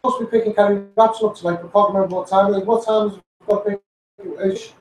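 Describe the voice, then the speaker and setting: A man's voice: sits high, steady tone, fast, darkish tone, roomy sound, slight background noise, rough recording. A man with a Scouse accent giving a blunt, sweary, taunting rant to his online followers. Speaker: social media personality.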